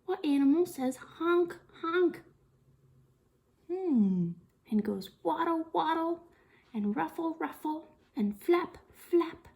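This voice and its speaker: A woman leads an interactive storytime for young children. Her voice is high and very animated, rising and dipping in a sing-song way. She takes her time, drawing words out very slowly and deliberately as she reads and puts questions to her listeners. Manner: sing-song, slow and deliberate, with exaggerated expression and frequent questions. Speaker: children's storytime reader and teacher. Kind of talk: interactive read-aloud of a picture book for young children.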